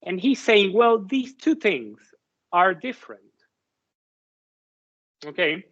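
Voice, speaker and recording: A slow, careful man lectures with a voice a little higher than most, stopping often to restart his sentences and asking whether the class follows. The recording sounds dull and lo-fi. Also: very animated, a bit bright. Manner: halting, many restarts and fillers, checks understanding with "right?". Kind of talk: university lecture.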